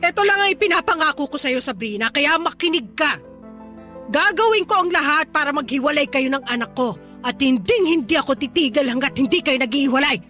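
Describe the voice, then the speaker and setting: A hostile, old-fashioned mother in a radio drama. Her voice is very high and piercingly bright, full of scornful, indignant accusation, with faint music behind. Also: expressive, a little fast. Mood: threatening. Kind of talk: Tagalog radio drama acting.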